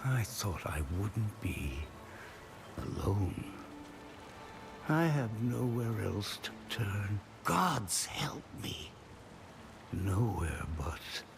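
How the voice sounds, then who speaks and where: An actor playing a weary, forlorn aging warrior in a fantasy game, speaking gravely and haltingly. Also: male voice, fairly low pitch, very animated, background noise, exaggerated voice. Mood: despairing. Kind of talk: dramatic fantasy game monologue. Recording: music behind the voice.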